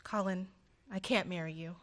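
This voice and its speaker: A woman's voice: lowish voice, fairly even intonation, normal speed, fairly bright tone, close to an everyday voice. A woman acting a willful, defiant young woman in love in a radio play. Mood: sorrowful, firm.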